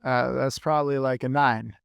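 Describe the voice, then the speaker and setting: A man being interviewed as a fitness coach, answering earnestly and thinking aloud in measured phrases. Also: medium pitch, steady tone, gravelly, smooth, dry recording, studio quality.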